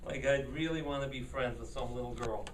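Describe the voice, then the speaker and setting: A man's voice: medium pitch, a little fast, reverberant, close to an everyday voice. Casting: scornful, vain male teen played by a man, dismissive delivery, distant mic, faint noise. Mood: mocking. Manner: sneering, dismissive. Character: vain, scornful teenage boy. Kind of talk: staged play reading.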